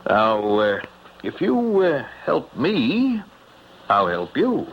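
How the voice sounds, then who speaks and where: An actor playing a shrewd, wryly amused police captain in a radio drama, speaking deliberately with measured hesitations. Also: male voice, medium pitch, wildly swooping pitch, exaggerated voice. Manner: deliberate, wry, hesitant pauses. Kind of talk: old-time radio drama acting. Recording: muffled, noisy radio drama recording.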